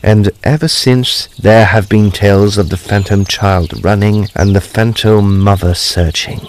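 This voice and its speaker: An actor playing a courteous gentleman ghost investigator in a period audio drama, speaking formally and deliberately with theatrical flair. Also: fairly low pitch, steady tone, a little hiss or hum, lightly acted. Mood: storytelling.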